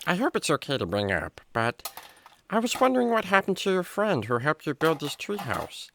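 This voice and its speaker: Man playing a bright, wildly animated, hesitant critter. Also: a little high, vocal fry, a little hiss or hum, exaggerated voice. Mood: concerned. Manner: hesitant, wordy, comically halting. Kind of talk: children's radio drama acting.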